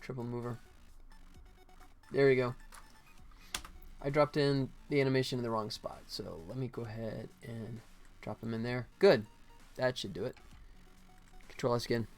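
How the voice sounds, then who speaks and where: Male game-dev streamer, mid-pitched, off-the-cuff and self-muttering, music underneath. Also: steady tone, moderate pace, pauses often. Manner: muttering to himself, then chatting to viewers. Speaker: game developer live streamer. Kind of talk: casual live stream chat while working.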